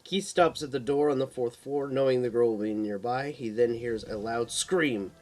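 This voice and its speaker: A man's voice: medium pitch, normal speed, a little mellow, soft background music. A man narrating a supernatural horror story in a dramatic read-aloud style, sometimes stumbling over the text.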